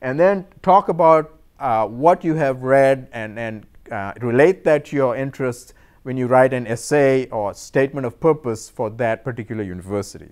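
A middle-aged man from Sri Lanka lectures to an audience in a room, his voice smooth and resonant at an ordinary pitch. He talks in a measured way and often stops on "uh" and "um" or repeats a word while he finds the next one. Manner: measured, hesitant with many fillers and repeated words. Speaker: university professor giving a talk. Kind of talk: informal lecture presentation. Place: lecture room in a cultural center.

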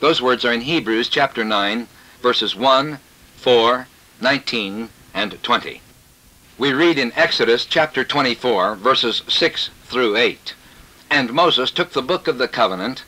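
A male preacher with a bright, forceful voice at an average pitch delivers a scripted scriptural sermon. His phrasing is formal and measured, each statement set out declaratively. It sounds like an old cassette tape recording. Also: rough recording.

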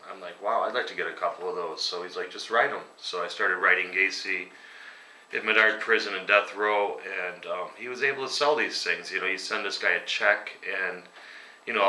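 A man's voice: low-pitched, bright, forceful tone, a little hiss or hum. A man in his forties, a metal band singer, answering interview questions in a casual, rambling way with lots of ums, sitting at a table at home. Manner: casual, rambling, many ums, chuckles at grim stories. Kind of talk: relaxed conversational interview answers. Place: dining room at home, indoors. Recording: echoey room, voice a bit distant.

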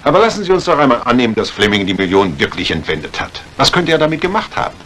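An actor playing a courteous but probing doctor in a retro radio crime drama, speaking formally. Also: male voice, mid-range voice, big pitch swings, fast talker, a bit bright. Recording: noisy old radio recording.

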